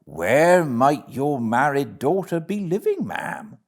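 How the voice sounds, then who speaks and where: A man's voice: fairly high pitch, very animated, takes it slowly, voice pushed up, full character voice. A man voicing a big, stout, friendly barge woman in a storybook, polite and obliging.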